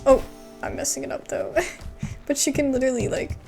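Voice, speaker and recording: A young woman giving casual, slangy, excitable commentary as she plays a video game. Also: high voice, a little fast, gravelly, faint music behind. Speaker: gameplay commentator. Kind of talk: casual gameplay commentary, reacting aloud.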